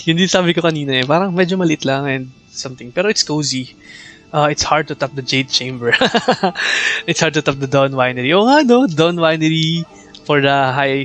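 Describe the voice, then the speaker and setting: A man's voice: mid-range voice, a bit bright. A man with a Filipino accent giving casual, chatty live game commentary and talking to viewers. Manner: chatty, reacts aloud and thanks viewers. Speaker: game livestreamer. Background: game music.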